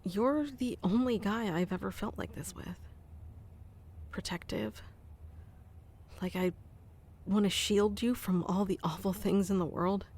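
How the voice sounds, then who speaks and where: A woman doing a soft-spoken ASMR roleplay, teasing and commanding yet doting, close to the mic. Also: mid-range voice, a little slow, long pauses. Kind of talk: ASMR roleplay, playing a bossy affectionate boss.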